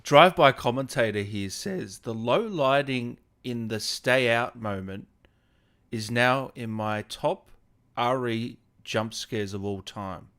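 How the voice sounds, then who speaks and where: A man hosting a relaxed gaming interview, chatting casually with a guest and viewers. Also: medium pitch, slow pace. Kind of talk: casual interview chat, reading viewer comments.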